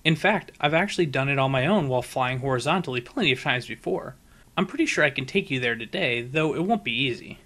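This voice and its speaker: A man's voice: medium pitch, lively intonation, fast talker, a bit bright. A man voicing a brash, boastful young female pegasus pony in a storybook reading, cocky and emphatic. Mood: confident, eager. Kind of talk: fan fiction audiobook character voice.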